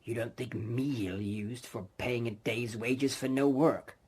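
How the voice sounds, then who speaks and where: A man voicing a cold, miserly old businessman with a gruff, sour grumble in an audiobook. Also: medium pitch, a little slow, a little mellow. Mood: grumbling, grudging. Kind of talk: audiobook character voice.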